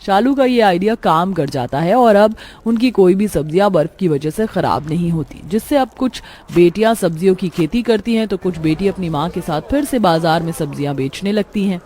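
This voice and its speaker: A woman's voice: fairly low pitch, lively intonation, normal speed, warm tone. A woman narrating a scripted moral story as its storyteller.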